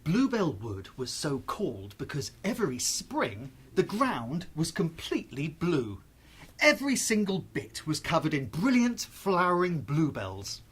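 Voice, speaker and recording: A storyteller narrating a children's bedtime tale in an expressive, suspenseful, deliberate way. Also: mid-range voice, breathy edge.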